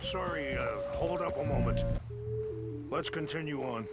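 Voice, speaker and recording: Wise calm chief, reflective, measured, loud music behind. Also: male voice, a little high. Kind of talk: video game voice acting.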